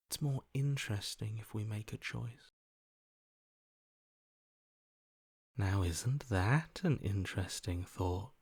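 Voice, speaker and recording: A cold, detached male voice from a video game, sitting at a medium pitch. He is blunt and dismissive, sounding bored by everything. The sound is very dry, with no room on it. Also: a little mellow, husky, no room sound.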